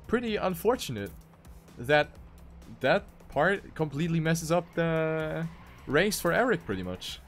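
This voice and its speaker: Higher-voiced man commentating a racing game. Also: big pitch swings, measured pace, faint music behind. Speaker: esports commentator.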